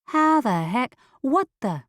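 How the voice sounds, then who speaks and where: A woman's voice: fairly high pitch, very animated, slow, dry recording, studio quality, pitched above the performer's own voice. A woman playing a frantic, incredulous young woman in an audiobook story. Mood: startled. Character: panicky, disbelieving young woman, newly told she's a witch.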